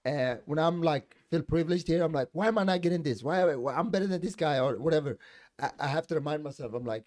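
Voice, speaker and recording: A man's voice: fairly high pitch, quick pace, a little mellow. A man in his late twenties, from Sweden, talking casually and jokingly on a podcast, with many fillers. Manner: laid-back, joking, lots of fillers. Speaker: podcast guest, comedian.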